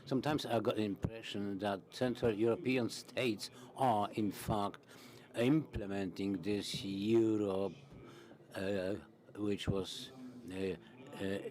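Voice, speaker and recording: A man interpreting a parliamentary conference into English, halting, with many fillers and restarts. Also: on the low side, fairly even intonation, a crowd in the background.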